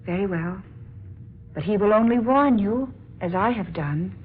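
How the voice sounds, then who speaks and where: A woman's voice: medium pitch, lively intonation, a little slow, over loud music, close to an everyday voice. A woman playing a gracious, earnest young princess in a radio drama, speaking with formal, courtly diction. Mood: grave, pleading. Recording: muffled, noisy old-time radio recording.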